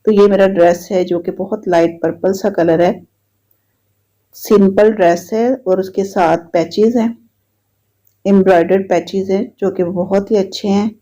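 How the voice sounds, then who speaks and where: A woman's voice: medium pitch, a little slow. Chatty woman with a slightly sore throat. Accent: Pakistani Urdu. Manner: chatty, repetitive, relaxed storytelling. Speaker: vlogger. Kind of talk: casual voice-over narration. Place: home. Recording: dull lo-fi sound.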